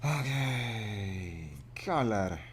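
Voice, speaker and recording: Animated low-voiced man thinking aloud. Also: drawn-out words, slight background noise. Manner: self-deprecating, muttering to himself, exclaiming and chuckling. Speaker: indie game developer and animator streaming his work. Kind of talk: casual devlog chat while drawing, thinking aloud.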